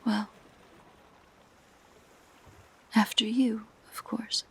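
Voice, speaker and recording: A woman voicing a possessive, curious siren in an intimate audio roleplay, speaking in soft, gentle, musing whispers. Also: on the high side, little pitch movement, full character voice. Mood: soft, possessive fondness. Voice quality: soft, breathy, mostly whispered. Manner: slow, gentle, musing delivery. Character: possessive, curious female siren, sweetly entranced by humans.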